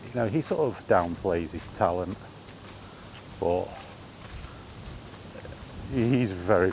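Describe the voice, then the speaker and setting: A middle-aged man in a casual, rambling vlog chat, speaking conversationally with halting pauses while out walking. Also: sits low, darkish tone. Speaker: gaming vlogger. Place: outdoors, walking. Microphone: microphone with wind guard. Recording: windy outdoor recording, background noise.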